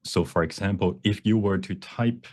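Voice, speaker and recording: Low-pitched man presenting a software demo. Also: moderate pace. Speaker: workshop presenter. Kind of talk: live software demonstration talk. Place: online presentation at a computer.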